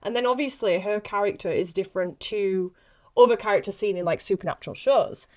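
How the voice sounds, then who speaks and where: A woman in a casual podcast chat, talking in an excitable, giggly, rambling way as she interviews a fellow actress. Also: mid-range voice, a little fast. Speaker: actress interviewing a castmate on a behind-the-scenes podcast.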